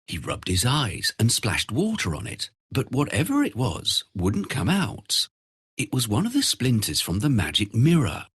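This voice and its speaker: Husky, very animated male storyteller. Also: mid-range voice, a little slow. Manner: expressive storytelling. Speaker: narrator. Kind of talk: scripted fairy-tale narration.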